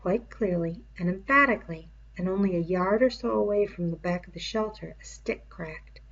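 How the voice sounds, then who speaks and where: A woman narrating a novel aloud in an expressive, literary storytelling style. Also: on the low side, normal speed, highs cut off.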